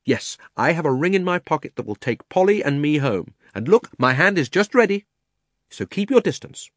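A man's voice: medium pitch, lively intonation, moderate pace, no room sound, natural voice. A man voicing a defiant, urgent young boy adventurer in a storybook reading. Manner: urgent, firm.

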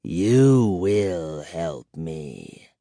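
A man voicing a cold, imperious old vampire count with soft menace in a storybook reading. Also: deep voice, animated, drawn-out words, dry recording, clean studio sound, pitched below the performer's own voice, heavily acted.

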